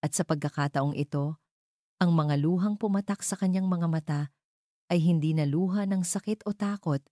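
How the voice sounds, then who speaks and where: A woman's voice: fairly low pitch, moderate pace, warm tone, no room sound, clean studio sound. A woman giving dramatic scripted narration of a story.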